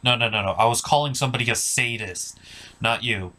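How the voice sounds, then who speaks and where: A man's voice: medium pitch, a little fast, pushed and bright. A man hosting a scripted comedic cartoon review, casual and theatrical in his delivery.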